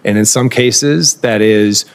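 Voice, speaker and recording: A fairly low-voiced man gives formal testimony as a nominee. His delivery is measured, built from careful policy jargon. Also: gravelly.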